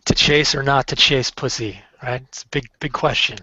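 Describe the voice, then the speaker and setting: A man's voice: medium pitch, normal speed, pushed and bright, dry recording. Hesitant man with filler-heavy speech. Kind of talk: casual online discussion. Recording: dry, dull lo-fi call audio.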